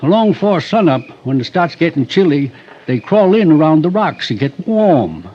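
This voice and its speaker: An actor playing a sly, unflappable rustic outdoor guide with a homespun rural Western American drawl, in a vintage radio drama. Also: male voice, on the high side, big pitch swings, normal speed. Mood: sly, laconic. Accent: rural American West. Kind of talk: 1940s-50s radio drama acting. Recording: dull, hissy old radio recording.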